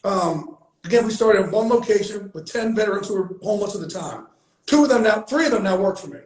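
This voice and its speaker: A man with a high voice speaks with blunt, emphatic conviction, swearing freely and hammering his points through repetition. It is a casual, heartfelt conversation recorded in a room with a noticeable echo. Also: lively intonation, fast talker. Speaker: host. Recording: echoey room.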